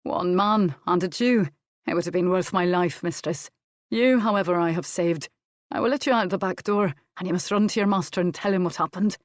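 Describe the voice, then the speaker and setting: Woman acting a discreet, composed gentleman banker. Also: fairly low pitch, brisk, no echo, close to an everyday voice. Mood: hushed urgency. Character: discreet, composed male banker, quietly urgent. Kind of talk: audiobook character acting.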